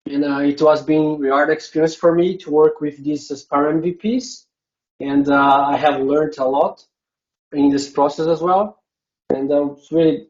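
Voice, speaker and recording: A man's voice: on the high side, darkish tone, muffled recording. A man from Brazil with a Brazilian Portuguese accent, a non-native English speaker, giving a conference presentation with many hesitant filler sounds.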